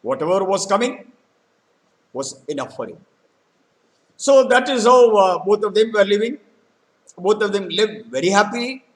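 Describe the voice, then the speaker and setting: A man telling a folktale in a halting, explanatory way, with frequent hesitant pauses. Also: high-pitched, animated, rough recording. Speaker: storyteller. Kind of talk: spoken folktale retelling with vocabulary explanations.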